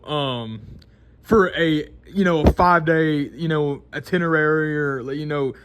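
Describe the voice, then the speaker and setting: A twenty-year-old man, talking casually to camera in a rambling, unscripted way full of restarts and fillers. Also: a little high. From Mississippi, American South. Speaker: vlogger.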